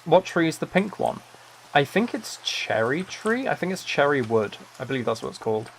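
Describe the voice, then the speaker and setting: A soft-spoken man livestreaming, chatting in a relaxed way and musing aloud as he plays a game. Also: fairly high pitch, normal speed, gravelly, a little hiss or hum, poor audio. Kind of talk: relaxed chat while playing a building game.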